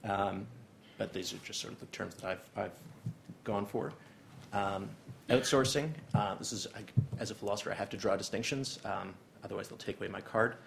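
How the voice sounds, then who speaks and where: A man's voice: lowish voice, normal speed, vocal fry, a bit bright, a little hiss or hum. A young Canadian man giving a seminar lecture, full of ums and uhs. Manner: hesitant, many ums and uhs. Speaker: guest lecturer. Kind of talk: academic seminar talk.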